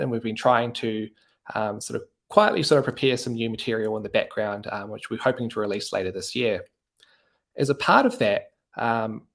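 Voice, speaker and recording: A man hosting a podcast discussion, talking casually with many fillers and hedges. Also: fairly low pitch. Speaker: podcast host.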